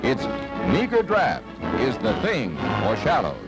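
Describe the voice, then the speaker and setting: A man's voice: mid-range voice, huge pitch swings, takes it slowly, over loud music. An American man narrating a scripted 1950s newsreel in a dramatic, punchy announcer style. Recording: vintage 1950s newsreel soundtrack.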